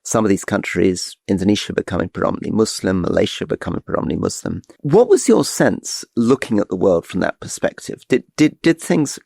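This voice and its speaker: Gravelly low-voiced middle-aged man explaining. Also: animated, brisk, hardly any pauses, a little mellow, dry recording, clean studio sound. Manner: eager, explanatory, stumbles over restarts. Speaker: podcast co-host. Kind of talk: casual political chat.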